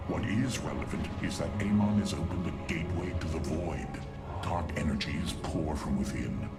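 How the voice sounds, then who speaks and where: An actor playing a proud, scornful alien warlord in a video game, speaking with clipped, imperious disdain. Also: male voice, a bass voice, moderate pace, over loud music. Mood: urgent, scornful. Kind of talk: video game cinematic acting.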